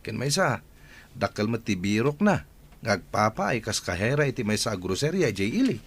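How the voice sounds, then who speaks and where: A man's voice: fairly low pitch, very animated, normal speed, breathy edge, heavily acted. A man playing a loyal, concerned family friend, friendly and reassuring, in a radio drama. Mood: surprised, questioning.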